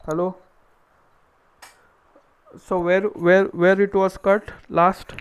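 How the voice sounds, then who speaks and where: A man with a high voice and an Indian accent teaches an engineering class live online. He explains step by step, asking questions and answering them himself. He often repeats his phrases as he goes. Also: flat delivery, slow, clear, resonant tone, lo-fi sound. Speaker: engineering lecturer.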